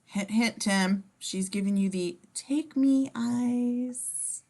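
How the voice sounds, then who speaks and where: A woman's voice: a little high, takes it slowly, dark tone. A woman reading scene descriptions in a casual amateur script read-through, as the narrator.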